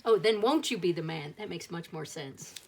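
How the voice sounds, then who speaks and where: Lady doing a kids story read-aloud, voice a bit on the low side, puts expression into it. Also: animated, normal speed, a little hiss or hum. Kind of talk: reading a children's picture book aloud.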